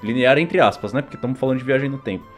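A man's voice: mid-range voice, racing, soft background music. A Brazilian man talking casually and informally as a podcast host. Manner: informal, slangy. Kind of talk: casual interview chat.

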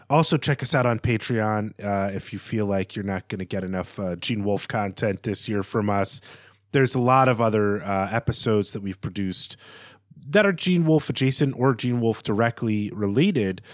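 A man's voice: on the low side, no echo, clean studio sound. A man co-hosting a literary podcast, chatting casually and with enthusiasm for his subject. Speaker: podcast co-host.

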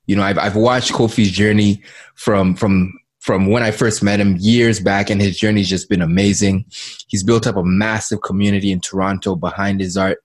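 A male podcast host with a fairly low voice leads an interview. He asks rambling questions and keeps circling back to repeat his words. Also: steady tone, normal speed.

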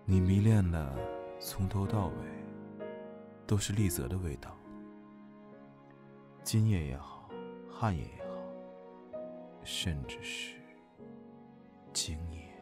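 Casting: male actor as brooding young military man, lowish voice, very animated range, dramatic audio drama. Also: slow, pauses often, over loud music. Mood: bitter, wistful. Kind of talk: Chinese radio drama acting.

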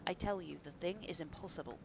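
Audiobook role: horrified male painter, moralizing and exclamatory, gravelly touch, played by a woman. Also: lowish voice, brisk, noisy background. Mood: horrified, agitated. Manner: exclamatory, emphatic, dramatic. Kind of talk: dramatic audiobook character reading.

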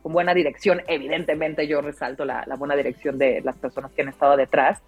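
A Mexican woman in her thirties with a deep, full-bodied voice, telling personal stories casually as an interview guest, in an expressive way. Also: quick pace, breathy edge. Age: 30s. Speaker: interview guest, radio announcer. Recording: dull lo-fi sound, music underneath.

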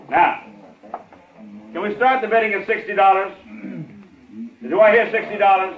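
A showy, exasperated auctioneer coaxes bidders with drawn-out patter in a 1940s radio drama. His high voice comes through a tinny, echoey recording with hiss. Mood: showy, coaxing. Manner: drawn-out, coaxing sales patter. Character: showy, exasperated auctioneer working a reluctant crowd.

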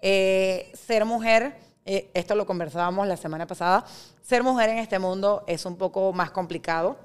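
A woman being interviewed as an entrepreneur guest, speaking in a frank, emphatic and expressive way. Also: mid-range voice, normal speed, smooth. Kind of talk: interview conversation.

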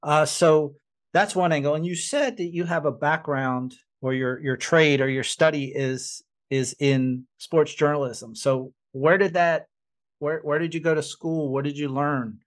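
A man's voice: on the high side, steady tone, unhurried. A man hosting a casual interview, asking loosely phrased questions. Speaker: interview host.

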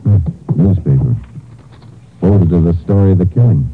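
An actor playing a calm, shrewd Texas Ranger in a 1950s radio drama, deliberate, matter-of-fact and authoritative, on a muffled old recording. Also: male voice, sits low, slightly rough, faint music behind. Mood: purposeful. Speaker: actor in the lead role, also narrating.

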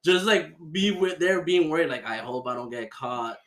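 A man's voice: on the high side, expressive, moderate pace, fairly bright tone, echoey room. A man from Miami hosting a podcast, chatting in a laid-back, slangy way with lots of fillers. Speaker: podcast host. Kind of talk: casual chat.

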